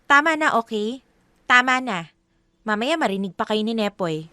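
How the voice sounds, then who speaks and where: A woman's voice: fairly high pitch, lively intonation, moderate pace, sharp tone, smooth, no room sound. A woman playing a firm, loyal young girlfriend with a Filipino accent in a radio drama. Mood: exasperated, firm.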